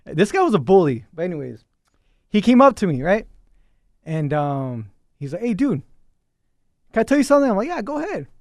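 A young man with a deep voice, co-hosting a casual chat, joking and telling stories. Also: big pitch swings, moderate pace, long pauses, no echo, clean studio sound. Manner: joking, storytelling, teasing. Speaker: podcast co-host.

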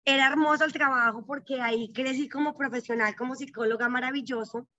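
A middle-aged woman talks through her life story as an interview guest, earnest and reflective, often checking in with short tag questions. Her voice sits high and sounds bright and crisp. The recording is dry and slightly dull, like a lo-fi setup. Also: steady tone, fairly quick, no room sound. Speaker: guest, psychologist and bank executive.

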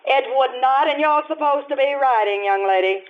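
An actress playing a haughty, sharp-tongued young bride-to-be from high society, with an imperious, sarcastic delivery in an old-time radio style. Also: female voice, normal speed, close to an everyday voice. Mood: exasperated. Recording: tinny band-limited stage radio show recording.

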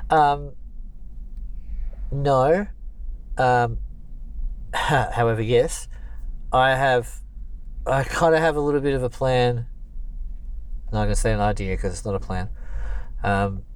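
A man's voice: mid-range voice, takes it slowly, pauses often, clear, resonant tone. A man, a singer-songwriter, talking casually and rambling with many fillers and restarts. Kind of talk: casual conversation.

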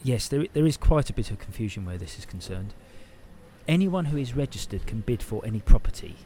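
An actor playing a patient but evasive council housing officer with a British accent and a bureaucratic, hedging manner. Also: male voice, medium pitch, sing-song, fairly quick, warm tone, slight background noise, lightly acted. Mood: businesslike. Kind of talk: audio play dialogue.

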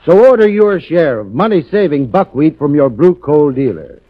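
An American man with a fairly high, animated voice delivers scripted advice as a radio ad expert. He is folksy and instructive, and the vintage 1940s recording is muffled. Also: normal speed. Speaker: commercial spokesman, heating expert.

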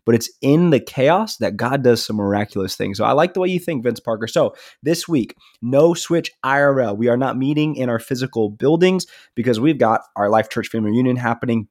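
A man's voice: on the low side, a little mellow, no echo, studio quality. A man co-hosting a casual podcast, sounding nasal from a stuffy nose, upbeat and easygoing. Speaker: podcast co-host, student ministry leader.

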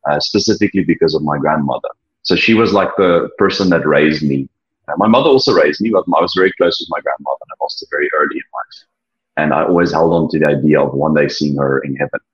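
A young South African man whose first language is Afrikaans, sharing his story earnestly, with frequent halting restarts. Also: extremely low pitch, husky. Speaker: interview guest. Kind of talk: personal testimony, reflective. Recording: dull lo-fi sound.

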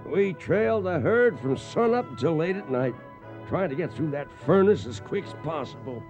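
A cowboy storyteller narrating a radio western. His voice is fairly high. Music runs behind the slightly dull broadcast. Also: animated, normal speed, darkish tone. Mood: matter-of-fact. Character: cowboy narrator recalling a hard cattle drive. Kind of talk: scripted radio drama narration.

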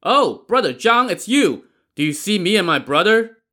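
A man voicing a pushy male leader of armed pursuers who shouts insistently from a riverbank. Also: high-pitched, animated, normal speed, voice pushed up. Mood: pleading. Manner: shouting across distance, insistent.

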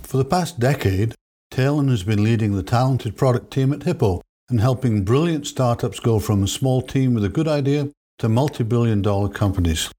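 A man hosts a business podcast, with a slightly husky, breathy voice at an ordinary pitch. He opens with a read intro and then asks rambling, loosely phrased questions. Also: normal speed, mellow. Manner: long, loosely phrased questions full of "you know". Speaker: podcast host. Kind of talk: scripted intro, then conversational interview questions.

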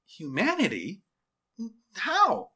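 A man performs an earnest second-in-command from an audio storybook. His voice sits high and bright, lively in pitch, eager and respectful as he answers his superior. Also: very slow, husky, exaggerated voice. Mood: earnest doubt. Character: earnest, deferential male army lieutenant, second in command.

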